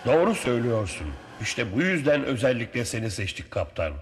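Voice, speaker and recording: An earnest, devout cartoon merchant, persuasive in manner. The pitch is middling but moves expressively, with faint music behind. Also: male voice, very animated, a little fast. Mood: reassuring. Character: earnest, devout merchant entrusting a precious cargo. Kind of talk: dubbed cartoon acting.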